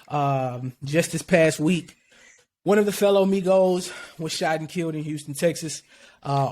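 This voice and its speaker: A man hosting a laid-back group podcast in a noticeably high voice. He talks emphatically, repeats hype lines and swears freely as he steers the conversation. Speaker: podcast host. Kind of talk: casual group podcast chat.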